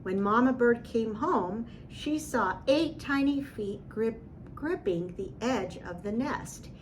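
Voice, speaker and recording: A woman narrating a children's storybook aloud in an expressive, deliberate way. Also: fairly high pitch, slight background noise, low-quality recording.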